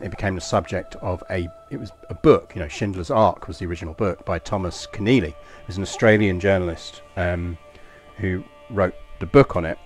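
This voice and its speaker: A man with a low, deep voice talks off the cuff while playing a game. His speech wanders, full of fillers and restarted phrases, like a relaxed streamer filling time. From Britain. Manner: rambling, many fillers and restarts. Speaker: gaming streamer. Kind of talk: casual commentary over gameplay.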